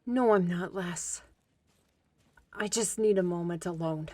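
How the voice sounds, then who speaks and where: A woman's voice: on the low side, measured pace, darkish tone, no room sound. A woman voicing a weary female steward who talks in a subdued, deflecting way.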